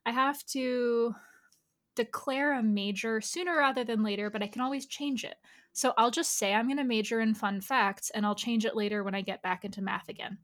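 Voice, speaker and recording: A woman's voice: fairly high pitch, fairly even intonation, normal speed, fairly bright tone. A woman from the United States speaking as an interview guest, telling personal stories in a chatty, explanatory way. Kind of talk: casual personal storytelling.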